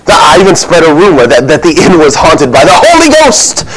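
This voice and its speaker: A man's voice: on the high side, wildly swooping pitch, fairly quick, fairly bright tone, voice pushed up, rough recording. Man playing a flustered, defensive innkeeper. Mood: sheepish, explaining. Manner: excuse-making, rambling, indignant storytelling. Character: flustered, defensive comic innkeeper of biblical Bethlehem. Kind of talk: comic stage monologue.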